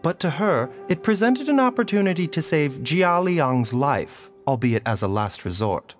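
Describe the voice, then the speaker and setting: An actor playing a calm, learned male doctor in a video game, speaking in a measured, reasoning, composed way. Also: on the high side, soft background music. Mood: thoughtful.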